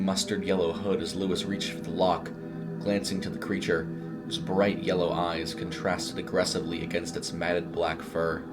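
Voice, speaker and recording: Guy with a really low voice narrating a creepy horror story, loud music under him.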